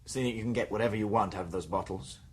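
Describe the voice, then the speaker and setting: In a radio play, a doubtful young man who fires off probing questions. He talks fast with a husky, slightly rough tone. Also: on the low side. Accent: British English. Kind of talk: 1990s radio drama.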